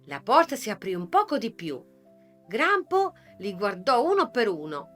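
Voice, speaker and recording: A woman narrating a children's fairy tale in a soft, measured way with dramatic pauses. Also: a little high, wildly swooping pitch. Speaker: storyteller. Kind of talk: gentle scripted story narration. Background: soft music.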